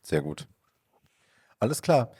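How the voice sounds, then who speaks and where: A man hosting a podcast, slightly hoarse from a cold, rambling with many fillers as he asks questions. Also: medium pitch, very animated, slow, warm tone. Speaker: podcast host. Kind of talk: casual podcast conversation.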